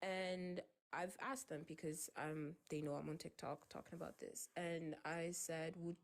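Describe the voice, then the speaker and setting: Brief: twenties woman, low gravelly voice, measured and hesitant. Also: fairly even intonation. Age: 20s. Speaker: debate participant. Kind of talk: live debate.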